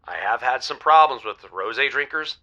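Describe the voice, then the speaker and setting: Casting: nonchalant male bachelor on radio comedy, mid-pitched, very bright voice, dull phone-in sound, shamelessly smooth. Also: animated, fairly quick. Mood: suave. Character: smooth-talking, shameless lovelorn bachelor on a dating show. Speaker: radio show dating contestant. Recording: dull, lo-fi call-in line.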